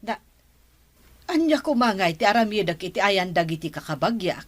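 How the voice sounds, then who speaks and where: An actress playing a nagging, suspicious and stubborn wife in an Ilocano-accented radio comedy drama. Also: female voice, mid-range voice, very animated, normal speed.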